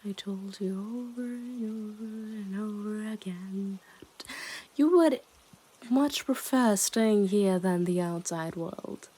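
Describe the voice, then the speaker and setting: A woman's voice: medium pitch, slow pace, warm tone, slightly rough, rough recording. A woman playing a possessive, smug yandere kidnapper in an intimate ASMR roleplay, teasing and condescending as she often dips into whispers. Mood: gloating.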